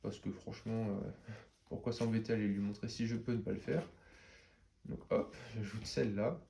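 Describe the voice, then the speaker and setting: A man talks through a card game match, stuffy from a head cold. His voice sits low, and he explains each play in a loose, chatty way full of fillers. Soft clicks of cards sit faintly behind him. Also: steady tone, normal speed, gravelly, a little hiss or hum. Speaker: card game player and channel host.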